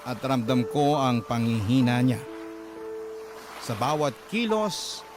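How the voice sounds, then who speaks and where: An actor with a Filipino accent playing a grieving, fearful parent, narrating a radio drama in a solemn, emotional first-person style. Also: male voice, medium pitch, very animated, slow pace. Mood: solemn, sad. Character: grieving, fearful parent confiding a life story. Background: music.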